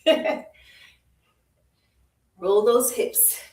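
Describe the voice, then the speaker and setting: A woman's voice: fairly high pitch, expressive, very slow, a little mellow. Jamaican woman coaching a workout, roomy. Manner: encouraging, repeats short cues, laughs easily. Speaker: fitness instructor. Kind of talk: live virtual workout coaching. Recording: roomy, distant from the mic.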